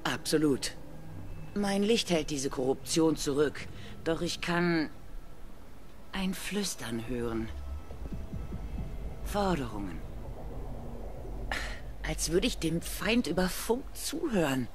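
A woman's voice: low-pitched, big pitch swings, slow, long pauses, background noise, heavily acted. A woman playing a dutiful, battle-hardened soldier and survivor in a video game, with clipped military delivery. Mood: grave, guarded. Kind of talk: dramatic video game dialogue.

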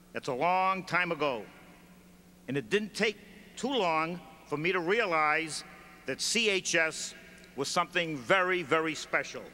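Older man speaking in an echoing hall. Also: fairly high pitch. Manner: measured, reads from notes, lists in steady cadence. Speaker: commencement speaker, former school staff. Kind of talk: formal commencement address. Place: large gymnasium stage at a podium. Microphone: podium microphone. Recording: reverberant hall with faint ambience.

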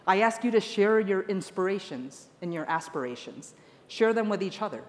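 A woman's voice: medium pitch, animated, normal speed. A woman delivering an earnest, emphatic keynote speech to a conference audience. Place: large conference hall stage. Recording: long hall reverb.

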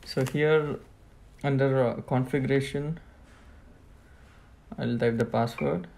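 Male tutorial presenter, gravelly mid-pitched voice, plain step-by-step product walkthrough. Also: steady tone, slow pace, darkish tone, slight background noise. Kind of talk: hands-on product setup walkthrough.

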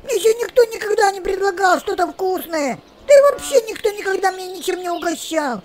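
A woman's voice: extremely high pitch, lively intonation, fairly quick, a little mellow, a little hiss or hum, pitched above the performer's own voice. A woman voicing a small, plaintive boy sparrow in a children's story, chirping his words.

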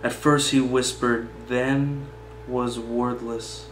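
A young man speaks slowly in a mid-pitched voice that barely rises or falls. His delivery is plain and unhurried. The small room adds a noticeable echo, and he sits a few feet from the camera's microphone. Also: little pitch movement. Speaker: poetry reader. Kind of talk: poem reading, then informal personal commentary. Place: small indoor meeting room, seated at table. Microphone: camera mic a few feet away. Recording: roomy echo with faint background hum.

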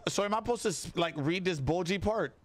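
A man's voice: high-pitched, fast talker, crowd noise. A young man streaming casual improvised game roleplay as a deputy, hesitant and repeating himself, on a close mic. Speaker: streamer playing a sheriff's deputy in online roleplay. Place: small indoor streaming room.

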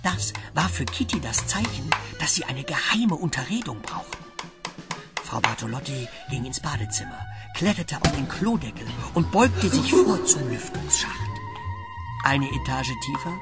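A man's voice: a little high, wildly swooping pitch, normal speed, over loud music. A man giving scripted narration for a children's story.

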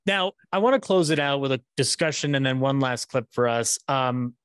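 A man's voice: mid-range voice, expressive, normal speed, clean tone, no room sound, clean studio sound. A man in his thirties hosting a casual discussion, often starting his sentences with a stammer. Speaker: podcast host. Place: indoor room, on a video call. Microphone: studio mic on boom arm, close.

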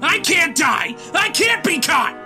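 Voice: very high voice, very animated, takes it slowly, soft background music, heavily acted. A performer voicing a theatrical, self-important, deranged young man who rants manically in a comic dub. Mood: manic, defiant. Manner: theatrical ranting, bursts into shouts. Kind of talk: dramatic comic dub voice acting.

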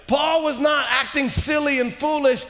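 A man in his forties preaching a sermon in a church on a headset mic, impassioned, rising to shouts and dropping low and quiet. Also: steady tone, normal speed. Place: large church sanctuary, indoors. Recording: long hall reverb.

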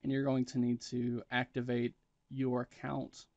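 A man's voice: mid-range voice, monotone, a little slow, darkish tone, no echo, muffled recording. A man presenting a step-by-step tech tutorial in a plain, matter-of-fact instructional way.

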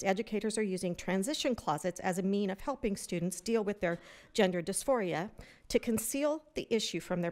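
Indignant woman reading at public comment. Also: mid-range voice. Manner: indignant, reading from prepared text. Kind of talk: public comment reading news stories aloud. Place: large hotel meeting room.

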